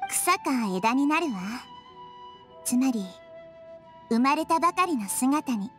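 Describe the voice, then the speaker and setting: A tiny, wise goddess from an anime game speaks in a high, soft, girlish voice. She explains gently and earnestly, with selfless composure. Music plays lightly behind her. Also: expressive, slow. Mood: calm resolve. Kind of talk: Japanese anime game voice acting. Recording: studio voice over background music.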